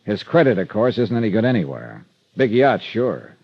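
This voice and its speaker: A man's voice: lowish voice, sing-song, normal speed, dry recording. An American man playing a wry, hard-boiled freelance insurance investigator in clipped, confiding 1950s radio detective style. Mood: intent, explaining. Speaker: lead actor and narrator. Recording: muffled vintage radio recording with music.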